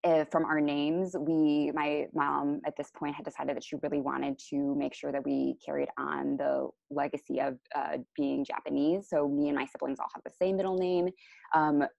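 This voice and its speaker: A young woman with a low voice and heavy vocal fry talks through her slides in a loose, relaxed way, with plenty of ums and restarts. The sound is dry and a bit dull, like a video call from a small room. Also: normal speed, no room sound. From Chicago area, USA. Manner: relaxed, many ums and self-corrections. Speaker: panelist. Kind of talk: casual presentation over slides.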